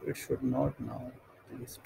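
A man walks through a screen-recorded software tutorial, speaking step by step and often restarting his sentences. His voice has a gravelly edge at a mid pitch. A faint hiss sits under the recording. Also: fairly even intonation, measured pace. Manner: thinking aloud, stepwise, with frequent fillers and restarts. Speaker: tutorial instructor.

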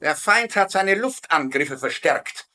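An actor playing a gruff, pompous German general with a curt, commanding manner in a dubbed military sitcom. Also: male voice, high-pitched, expressive, measured pace, sharp tone, heavily acted. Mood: stern, threatening.

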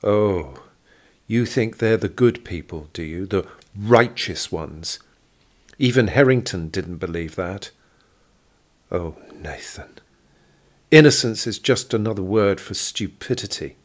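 A cold, haughty lady of wealth , as a man acts her out in a period audio drama. She speaks slowly and deliberately, full of disdain. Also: on the low side, lively intonation. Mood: cold, imperious.

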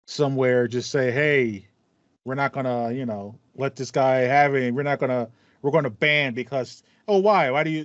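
A man's voice: mid-range voice, a bit bright, dry recording. A man chatting casually as a gaming podcast host, sympathetic and mock-indignant. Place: small room with acoustic foam, indoors. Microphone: headset on a video call. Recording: slightly dull, lo-fi online call.

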